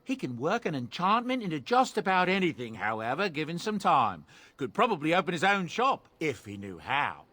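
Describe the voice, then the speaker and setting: Kindly dwarf merchant: genial, folksy, lively rise and fall in the voice. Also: male voice, on the high side, moderate pace, no echo, exaggerated voice. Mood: musing. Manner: friendly, folksy, musing. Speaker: game character.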